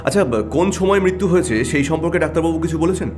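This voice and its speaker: A keen, brooding private detective acted by a man in an audio drama. He probes and stresses his points in a fairly high, very animated voice, with soft music underneath. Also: fast, lightly acted. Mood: probing.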